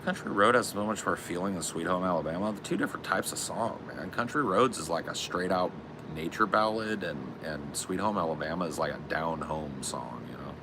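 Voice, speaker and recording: A man with a deep voice, co-hosting a podcast in a loose, profane, rambling chat. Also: a little fast, few pauses, noisy background. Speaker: podcast co-host.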